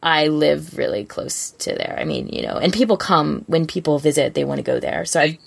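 A woman's voice: big pitch swings, fairly quick, creaky, husky. A woman co-hosting a casual fan podcast, chatting informally in an exclamatory, teasing way. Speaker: podcast co-host. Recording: faint rumbling background noise.

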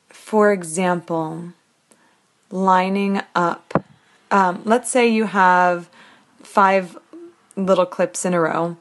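A woman calling in as a listener, explaining a tip step by step in casual speech. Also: medium pitch. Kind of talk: casual spoken how-to explanation.

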